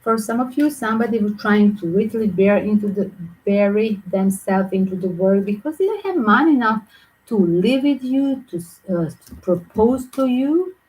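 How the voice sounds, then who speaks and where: A woman from Southern Europe with a mixed Greek and Yugoslav accent, giving a tarot reading in quick, chatty, non-native English. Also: mid-range voice, animated, darkish tone, rough recording. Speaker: tarot reader.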